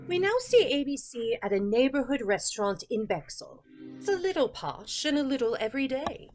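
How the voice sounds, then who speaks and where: A woman's voice: high voice, very animated, a little fast, a little mellow, a little hiss or hum. A woman narrating an old-time radio drama as announcer, with playful scripted delivery. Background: music.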